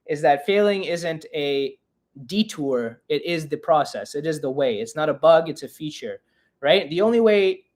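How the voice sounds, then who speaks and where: Twenty-something guy rambling thru a live q&a, kinda high voice, pokes fun at himself a lot. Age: 20s. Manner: chatty and self-mocking, reading chat aloud. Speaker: podcast host. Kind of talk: casual live-stream Q&A.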